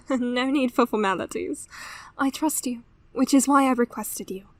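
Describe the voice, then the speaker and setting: A woman voicing an anxious, self-doubting queen and mother in an animated series, hesitant, breathy and fretful. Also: sits high, measured pace, dry recording. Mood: troubled, hesitant. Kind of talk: animated web series voice acting.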